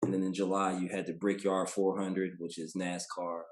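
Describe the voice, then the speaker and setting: An American man in his thirties with a low voice talks casually as a podcast guest. His manner is laid-back and colloquial. The sound is roomy and echoey. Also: little pitch movement, moderate pace. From Indianapolis, United States. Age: 30s. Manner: colloquial, laid-back, often tags sentences with "you know". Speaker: podcast guest, basketball player. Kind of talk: casual interview chat.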